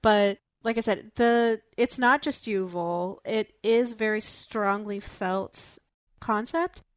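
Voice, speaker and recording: A woman's voice: mid-range voice, slow, dry recording, studio quality. A woman co-hosting a podcast, explaining things casually with wry asides. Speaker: podcast co-host.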